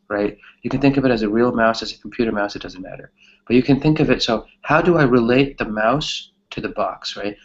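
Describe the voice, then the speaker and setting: A man's voice: mid-range voice, fairly bright tone, dull audio. A man teaching a conversational English grammar class, often checking in with a questioning tag as he explains.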